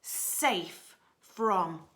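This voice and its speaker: A woman teacher reads a children's story with great expression. Her delivery is unhurried and very animated, bright in tone, with dramatic shifts between projected lines and hushed asides. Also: fairly low pitch.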